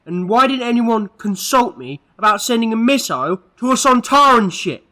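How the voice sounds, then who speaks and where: A high, bright-voiced sci-fi hero, played by a man, forceful and earnest. He speaks as an urgent peacemaker, admonishing with authority in an audio drama. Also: big pitch swings, normal speed, exaggerated voice. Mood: stern, reasoning.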